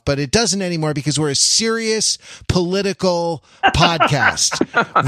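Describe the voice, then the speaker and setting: Higher-voiced man hosting a podcast. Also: fairly bright tone, no echo, clean studio sound. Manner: jokey, mock-serious. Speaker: podcast host. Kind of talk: casual comedic panel chat.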